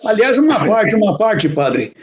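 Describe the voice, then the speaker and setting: A man's voice: high-pitched, big pitch swings, unhurried, lo-fi sound, a crowd in the background. A man interviewing a priest, asking polite, chatty questions and adding his own stories. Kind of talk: interview chat.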